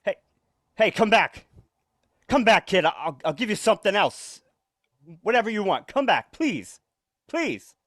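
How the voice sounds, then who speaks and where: A man's voice: high-pitched, big pitch swings, measured pace, long pauses, a bit bright, full character voice. A man acting a nervous, secretive husband with menace under his evasive stammer, in 1940s radio suspense style. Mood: desperate, pleading. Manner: stammering, evasive, repeats words.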